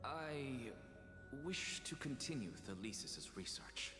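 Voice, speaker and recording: An actor playing a defiant, arrogant young male scholar in a video game, with dramatic, deliberate delivery. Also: medium pitch, very animated. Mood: resigned, quiet. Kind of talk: theatrical video game voice acting. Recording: long reverb, game music behind.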